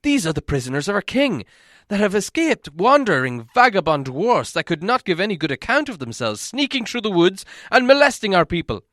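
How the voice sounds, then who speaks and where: Male narrator as elven raftman, angry, accusing, scornful, pitched up, storybook fantasy. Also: sing-song, fairly quick, fairly bright tone, no room sound, studio quality. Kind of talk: audiobook character acting.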